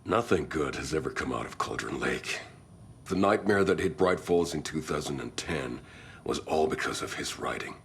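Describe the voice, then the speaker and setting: Cult boss for a game cutscene: very deep, creaky, sly and menacing, faint rumbling noise behind. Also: male voice, animated, normal speed, full character voice. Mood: grim. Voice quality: gravelly. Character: gravelly local male cult leader, menacing and self-assured. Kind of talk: video game cutscene acting. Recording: light background noise with low rumble.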